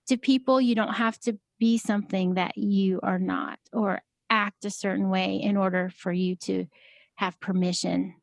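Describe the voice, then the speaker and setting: Soft-spoken woman with a high voice. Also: creaky, no room sound, studio quality. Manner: soft-spoken, hesitant with many fillers. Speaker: podcast guest, preacher. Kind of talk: casual conversation.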